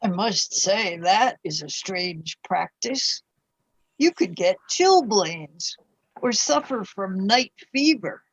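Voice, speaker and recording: A woman's voice: medium pitch, lively intonation, slow pace, breathy edge, heavily acted, rough recording. A woman acting in a radio-style play as a polite, curious 19th-century wife, speaking in a formal, old-fashioned way. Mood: concerned, prim.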